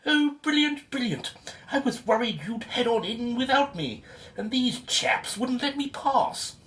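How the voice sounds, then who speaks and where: A woman playing an effusive, pushy, pompous gentleman adventurer in a radio drama. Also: a little high, animated, measured pace. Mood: hearty, excited. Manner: hearty, pompous.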